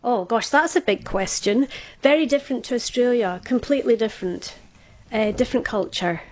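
Scottish woman, 50s, radio guest, chatty storyteller, slightly high voice. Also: moderate pace, no echo. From Scotland. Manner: chatty, hesitant, with frequent small laughs. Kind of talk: casual radio chat.

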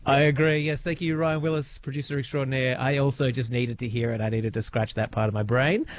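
A man's voice: medium pitch, fairly even intonation, a little fast. A man hosting a radio show in a playful, jokey way. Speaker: radio host. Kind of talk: casual radio chat.

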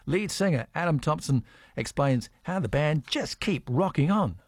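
A man hosting a casual radio interview, chatty with quick affirmations. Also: fairly high pitch, animated, no room sound, clean studio sound. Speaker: radio host.

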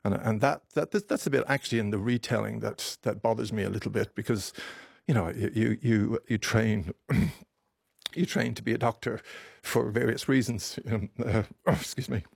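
A man in his fifties from Ireland, a doctor interviewed on radio, telling his story emotionally in halting speech, with many restarts and repeated words. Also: lowish voice, fairly even intonation, gravelly, breathy edge, no echo, clean studio sound. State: emotional, voice close to breaking.

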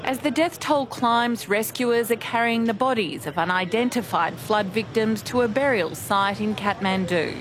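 A woman reporting the news in a measured, scripted delivery. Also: mid-range voice, slight background noise.

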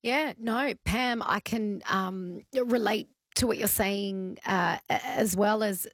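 A woman's voice: mid-range voice, slow, no echo, studio quality. A woman hosting a live radio talk show, chatty and full of fillers and restarts. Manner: chatty and warm-hearted, with many fillers and restarts.

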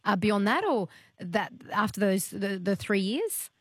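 A woman's voice: mid-range voice, very animated, unhurried, fairly bright tone, husky, dry recording. A woman hosting an interview, asking curious, rambling questions. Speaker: interview host. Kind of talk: interviewing a guest.

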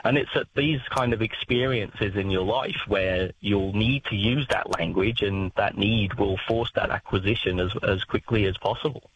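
A man's voice: fairly low pitch, normal speed, few pauses, dull audio, slight background noise. A man, a language expert speaking on a talkback radio show, explaining with many fillers and restarts.

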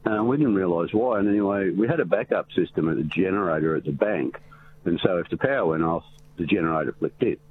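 A man calling in on the phone, telling a rambling story in a loud voice. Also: sits low, quick pace. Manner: loud, rambling, restarts often. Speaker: caller. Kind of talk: telling a story on a call-in show. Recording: tinny phone line with faint hiss.

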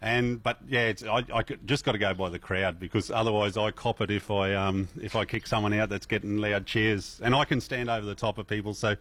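A middle-aged Australian man with a fairly low voice, talking casually as a radio guest. He rambles easily, with plenty of ums and restarts. The sound is close and dry. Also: a little fast, few pauses, no room sound. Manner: relaxed and chatty, many fillers and restarts, laughs easily. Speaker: festival MC, interview guest. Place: radio interview.

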